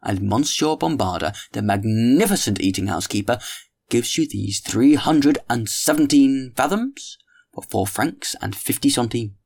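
A man's voice: medium pitch, animated, unhurried, creaky, darkish tone, pitched above the performer's own voice. A man voicing a witty young man holding forth at dinner, speaking with a theatrical, mock-solemn grandeur. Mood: grandiloquent.

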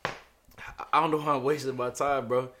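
A man co-hosting a casual podcast chat, animated and emphatic, often repeating himself, with a slightly hoarse voice. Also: medium pitch, brisk, a little hiss or hum. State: hoarse from arguing. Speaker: podcast co-host.

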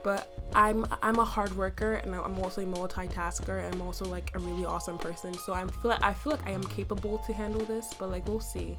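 A young adult woman hosting a casual solo podcast, her voice slightly raspy from a cough, chatty and full of fillers with playful boasting. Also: medium pitch, fairly even intonation, fairly quick, few pauses, over music. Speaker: podcast host.

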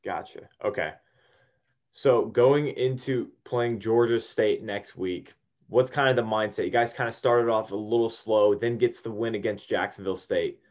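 A man's voice: lowish voice, normal speed, darkish tone. A man working as a sports interviewer, asking questions in a casual way on a slightly dull recording.

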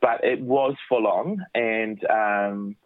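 A man's voice: lowish voice, slow pace, no echo. A man, a children's musician, chatting casually in an interview with many restarts, from his home office. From New Zealand. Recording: dry phone-like line.

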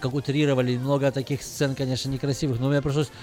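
A man's voice: mid-range voice, level tone, darkish tone. A man co-hosting a casual radio chat show, telling stories in a chatty way. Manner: fast, chatty storyteller. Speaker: radio co-host. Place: radio studio. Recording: faint music under the talk.

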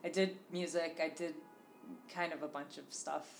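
Chatty woman with a low voice. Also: fairly even intonation, normal speed, vocal fry, soft background music. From Illinois. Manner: rambling, chatty, lots of fillers. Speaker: podcast guest. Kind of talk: casual chat.

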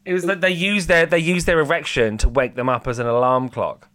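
A man co-hosting a casual chat, animated and giggly, often restarting sentences and breaking into high laughs. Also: fairly quick. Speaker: podcast co-host.

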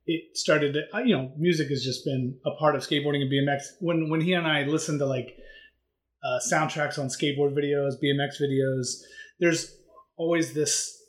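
A man's voice: on the high side, steady tone, normal speed. Man in his forties telling stories, echoey room. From Maryland and Virginia, near DC. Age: 40s. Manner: rambling, nostalgic, restarts often. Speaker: skate shop owner, guest. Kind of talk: casual chat, storytelling.

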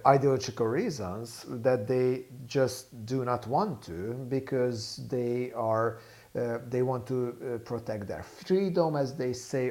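A man whose first language is Hungarian, speaking as a guest author on a podcast, measured and halting with many fillers. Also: medium pitch, mellow. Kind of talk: podcast interview.

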